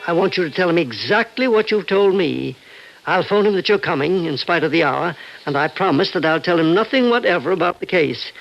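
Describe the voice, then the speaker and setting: A man's voice: high voice, animated, creaky. An actor playing a concerned doctor in an old-time radio drama, earnest and hesitant as he reasons things through. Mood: earnest, reassuring. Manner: earnest, hesitant, measured persuasion. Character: concerned, reasoning older male doctor and confidant. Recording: dull vintage radio recording.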